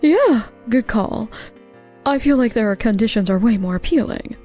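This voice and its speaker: Woman acting a soft, high-voiced office colleague. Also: expressive, normal speed, natural voice. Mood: helpful. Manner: polite, businesslike. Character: helpful, agreeable young female office colleague. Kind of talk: manga dub dialogue acting. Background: faint background music.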